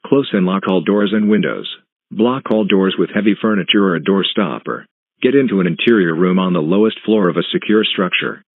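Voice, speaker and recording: A man reading a scripted emergency alert announcement in a formal, impersonal, measured manner. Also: deep voice, no room sound. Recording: tinny phone-like alert broadcast audio.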